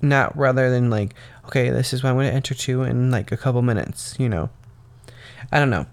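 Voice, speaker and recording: A young man hosting his own podcast, talking casually and rambling with lots of "um" and "like", his voice slightly raspy and tired from getting up very early. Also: medium pitch, fairly quick. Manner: rambling, meandering talk full of "um" and "like". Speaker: podcast host. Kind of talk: casual solo podcast monologue.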